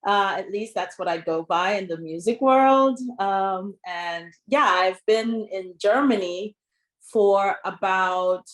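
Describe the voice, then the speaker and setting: A woman's voice: medium pitch, slow pace, a bit bright, echoey room. A woman from Montserrat who sings and writes songs, introducing herself politely on a video call panel. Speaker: singer and songwriter guest.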